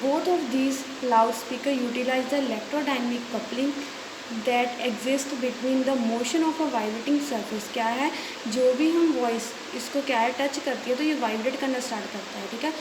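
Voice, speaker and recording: A woman's voice: a little high, slow pace. A woman with an Indian accent giving a lecture as an electronics teacher, reading out notes and explaining them, often checking in with short tags. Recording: echoey room with hiss and noise.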